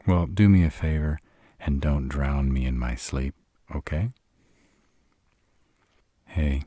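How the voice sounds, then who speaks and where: A man's voice: rumbling low, dark tone, dry recording, studio quality, full character voice. A man playing a sarcastic, irritable vampire in an intimate roleplay audio drama, grumbling and dramatic in his delivery. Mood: apologetic, earnest. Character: sarcastic irritable male vampire, stranded in a human's home.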